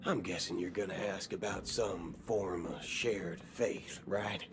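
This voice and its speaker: An actor playing a swaggering, wry cowboy gunslinger in a video game, talking in folksy slang. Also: male voice, low voice, steady tone. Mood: drawling. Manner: folksy slang, slow drawling delivery. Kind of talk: video game cutscene acting. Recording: game audio with background music.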